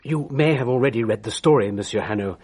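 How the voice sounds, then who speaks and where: An actor playing a genteel, fussy old bachelor gentleman in a radio drama, telling his story precisely with theatrical pauses. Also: mid-range voice, slightly rough, close to an everyday voice. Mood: earnest.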